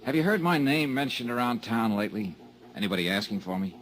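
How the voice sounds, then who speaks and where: An actor playing a wry, hard-boiled insurance investigator who narrates and trades dry, sardonic quips in a 1950s radio drama. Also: male voice, medium pitch, expressive, quick pace, fairly bright tone, close to an everyday voice. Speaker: narrator and lead.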